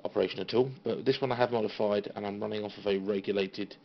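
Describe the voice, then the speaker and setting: A British man giving a casual, halting, understated tour of his hobby equipment, as an enthusiast showing viewers around. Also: lowish voice, fairly even intonation. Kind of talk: casual informal show-and-tell. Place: small radio room at home. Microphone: handheld phone. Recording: dull phone recording with faint noise.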